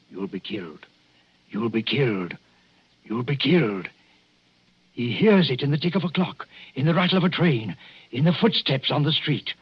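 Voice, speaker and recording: Grave English doctor, husky, wildly swinging delivery. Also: male voice, a little high. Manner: formal, hesitant, swelling to theatrical intensity. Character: grave, hesitant English doctor, ominously warning. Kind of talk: 1940s radio acting. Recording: dull 1940s radio recording.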